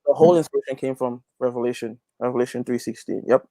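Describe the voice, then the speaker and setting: A man's voice: mid-range voice, fast talker, dark tone, dry recording, rough recording. Man chatting, close dry sound. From Guyana. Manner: emphatic, repeats phrases as he builds a point. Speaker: interview guest, gospel reggae artist. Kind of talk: casual interview chat.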